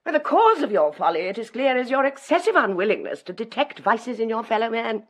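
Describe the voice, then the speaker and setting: A British actress playing a domineering, haughty bishop's wife in a period radio drama, speaking with emphatic scorn. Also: female voice, a little high, sing-song, brisk. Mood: stern reproach.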